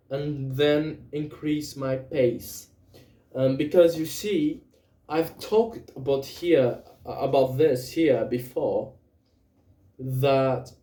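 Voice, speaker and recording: Slow, hesitant Brazilian man. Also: mid-range voice, warm tone, echoey room. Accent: Brazilian Portuguese. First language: Portuguese. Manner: hesitant, many fillers and self-corrections. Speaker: vlogger. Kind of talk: casual spoken journal, language practice.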